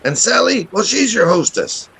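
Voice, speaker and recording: An actor playing a jovial, welcoming lobster boat captain in a radio play. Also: male voice, high voice, sing-song, normal speed, creaky, a bit bright, exaggerated voice. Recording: faint background noise.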